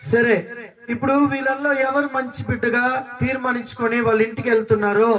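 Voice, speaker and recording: A man's voice: very high voice, steady tone, quick pace, a bit bright. A man hosting a children's puppet show, bantering with the puppets in a questioning, chiding way. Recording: distant mic, live hall with crowd.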